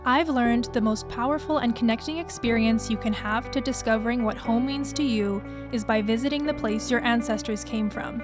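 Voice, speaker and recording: A woman's voice: fairly high pitch, normal speed, hardly any pauses. Young woman narrating over music. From Canada. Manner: smooth, even, read from a script. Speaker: narrator, dancer. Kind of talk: scripted narration.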